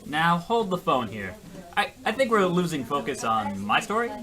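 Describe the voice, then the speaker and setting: Male actor as hapless radio-comedy husband, slightly high, very animated, oblivious, self-pitying, earnest, rambling. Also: normal speed, a crowd in the background. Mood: flustered, rambling. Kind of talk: comedic old-time radio play acting.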